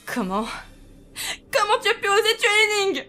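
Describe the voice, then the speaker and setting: A woman's voice: very high-pitched, sing-song, moderate pace, sharp tone, faint music behind, exaggerated voice. A woman playing a determined, hot-headed young soldier in an amateur audio drama, speaking firmly and earnestly. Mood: angry.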